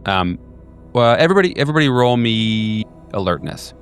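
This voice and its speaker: A man's voice: medium pitch, very animated, a little fast, faint music behind. A man narrating as game master of a tabletop horror game, rambling and full of ums and uhs. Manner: rambling, filler-heavy, with many ums and uhs.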